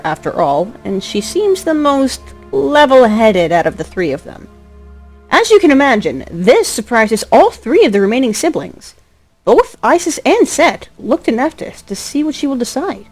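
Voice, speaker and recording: A woman narrating a scripted drama as a storyteller, expressive, with a wry touch. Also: high-pitched. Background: music.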